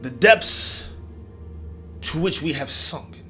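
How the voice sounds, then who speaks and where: Indignant spectral army colonel, played by a male storyteller, pompous archaic speech, mock old English accent, raised pitch, ringing bright delivery. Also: expressive, slow, soft background music, full character voice. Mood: scornful, indignant.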